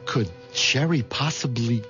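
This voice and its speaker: A man's voice: medium pitch, unhurried, lightly acted. An actor playing a meek, nervous American husband caught up in his own crime, in old-time radio drama style. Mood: grim, uneasy. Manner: hesitant, anxious, stammering under pressure. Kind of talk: old-time radio drama acting and first-person narration. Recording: dull lo-fi radio recording, music behind.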